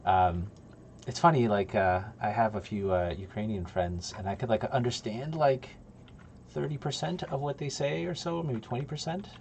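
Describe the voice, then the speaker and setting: A man's voice: medium pitch, normal speed, gravelly, a little hiss or hum. A man in his thirties, originally from Poland, chatting casually and rambling with many ums while streaming game development from a home desk. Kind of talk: casual livestream chat.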